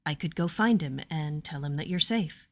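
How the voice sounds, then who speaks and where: Woman putting on a low, weary young warrior man. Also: animated, moderate pace. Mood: steady, persuasive. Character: tired, stiff young male warrior, kindly and steady.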